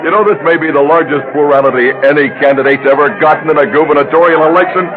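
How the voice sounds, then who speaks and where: A man's voice: on the high side, fast talker, lightly acted. Man playing gushing political supporter, tinny recording. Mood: excited. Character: excited, delighted political supporter. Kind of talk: radio drama acting. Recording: thin phone-like radio recording, music behind.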